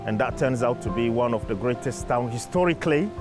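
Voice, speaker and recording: A man whose first language is French speaks as a community campaigner in a documentary interview. His talk is earnest, measured and reflective, at an ordinary middle pitch. Clear music runs underneath the whole time. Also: animated.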